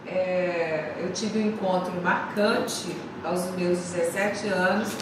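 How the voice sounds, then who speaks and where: A woman's voice: on the low side, steady tone, takes it slowly. A Brazilian woman sharing her personal faith story in a church class, hesitant and repeating words. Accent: Brazilian Portuguese. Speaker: class participant. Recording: echoey room, distant mic, background noise.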